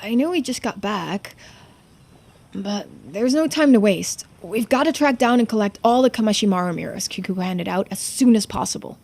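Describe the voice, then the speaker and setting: A woman voicing an earnest, subdued young diver in a video game, speaking seriously and with quiet determination. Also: mid-range voice, expressive, moderate pace, gravelly. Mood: urgent, serious.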